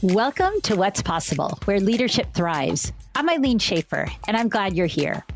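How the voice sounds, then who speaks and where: A woman hosting a leadership podcast, reading a scripted intro and chatting with a guest in an upbeat, enthusiastic way. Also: mid-range voice. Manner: upbeat, enthusiastic, warm questions. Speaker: podcast host and coach. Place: home office, video call.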